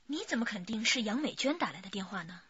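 An actress playing a composed, knowledgeable police detective with a husky voice in a radio drama. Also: female voice, a little high, very animated, quick pace, bright, forceful tone, muffled recording, slight background noise. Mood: composed, attentive.

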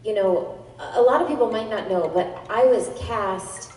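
A woman in her thirties answers fan questions on a convention stage, telling stories quickly and with energy. Her voice reaches the mic from a distance and echoes through a big hall with a long reverb tail. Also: mid-range voice, darkish tone. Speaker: voice actor on convention panel. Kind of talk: panel Q&A answer. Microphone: handheld mic heard through hall speakers. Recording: echoey hall recorded from far off.

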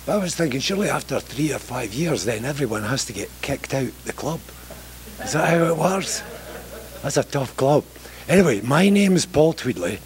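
A man's voice: medium pitch, lively intonation, moderate pace. Joking Scottish man with a handheld mic. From Scotland. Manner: joking, rambling, self-deprecating. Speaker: mayoral candidate. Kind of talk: candidate forum introduction. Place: library meeting room, standing before seated audience.

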